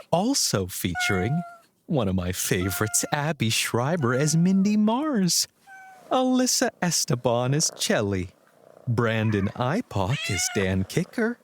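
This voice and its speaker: A man putting on a whimsical, genteel radio announcer for a comedy show. He takes his time, with swooping pitch and theatrical, playful asides. There is some noise behind him. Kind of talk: comic radio announcing of credits.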